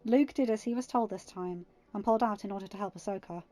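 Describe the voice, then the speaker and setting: A woman's voice: medium pitch, fast talker, mellow. A woman reading scripted fan fiction narration as an audiobook reader.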